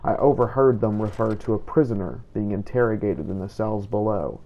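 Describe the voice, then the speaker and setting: A man giving scripted first-person roleplay narration of a game in a measured, formal way. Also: on the low side, dark tone, dull audio. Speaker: gameplay narrator playing a vampire hunter in character.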